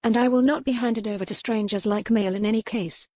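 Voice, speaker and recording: A woman's voice: a little high, fairly even intonation, brisk, dark tone, dry recording, studio quality, pitched above the performer's own voice, natural voice. Proud, defiant young noblewoman, acted by a woman. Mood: defiant, demanding. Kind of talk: dramatic audiobook character reading.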